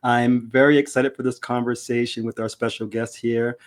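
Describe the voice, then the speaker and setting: A man's voice: medium pitch, level tone, normal speed. A man with a mid-range voice hosting a live podcast over a video call. He sounds upbeat and friendly, moving casually from his welcome into questions with a few fillers along the way. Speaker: podcast host.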